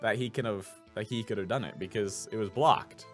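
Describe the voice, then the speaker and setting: A man's voice: lowish voice, a little fast, faint music behind. A man doing playful, theatrical gameplay commentary as he reads out game characters. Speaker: voice actor, gameplay host.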